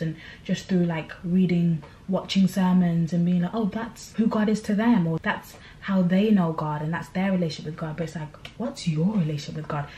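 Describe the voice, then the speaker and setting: A young woman vlogs in a small room at home while she does her hair, speaking from a camera a little way off. Her voice sits a bit low for a woman, and she talks in a chatty, rambling, confiding way. A faint hum of room ambience stays underneath. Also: dark tone, poor audio. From Tanzania. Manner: chatty, rambling, confiding, peppered with "like" and "do you know what I mean". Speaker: vlogger.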